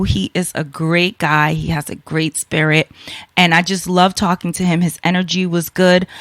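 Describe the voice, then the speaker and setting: A woman from the United States hosting a casual podcast interview, excitable and gushing, with many fillers. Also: fairly low pitch, no room sound. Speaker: podcast host.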